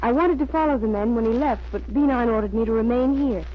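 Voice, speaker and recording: An actress playing a brisk, earnest female agent making dutiful reports in an old-time radio drama, on a muffled recording. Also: fairly high pitch, lightly acted. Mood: urgent, dutiful.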